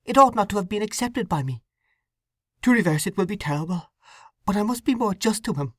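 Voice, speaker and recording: A woman's voice: medium pitch, lively intonation, brisk, dry recording, studio quality, voice pushed up, natural voice. A woman voicing a young, distraught woman torn by conscience, pleading and breathless. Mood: anguished.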